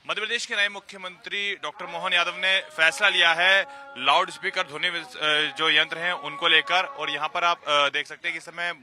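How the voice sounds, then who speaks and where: A man's voice: fairly high pitch, bright, forceful tone. A man reporting live on location for the news, speaking as a field reporter.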